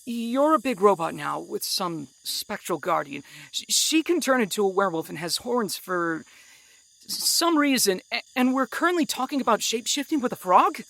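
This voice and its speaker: A man playing a weary, bewildered wounded man, creaky and hesitant, in an audio drama. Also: high voice, sing-song, normal speed, pushed and bright, full character voice, poor audio. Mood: confused. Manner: hesitant, stammering.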